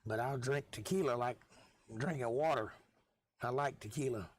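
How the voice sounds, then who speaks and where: A middle-aged man, hoarse from losing his voice, chatting casually with viewers as host of a live stream. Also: mid-range voice, moderate pace. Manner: laid-back, chatty, folksy.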